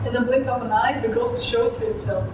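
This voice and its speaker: A woman's voice: on the high side, big pitch swings, moderate pace. A young adult woman reading scripted narration for a horror radio drama, stumbling and repeating words now and then. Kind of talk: scripted narration, read aloud. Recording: very echoey room, distant mic, noisy background.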